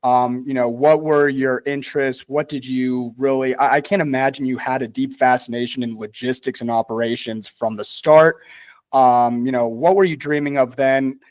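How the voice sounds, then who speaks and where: A man's voice: medium pitch, steady tone, normal speed, darkish tone, dry recording. A man hosting a podcast interview in a friendly, easygoing way, with frequent ums and casual phrasing. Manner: friendly, easygoing, hesitant fillers. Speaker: podcast host.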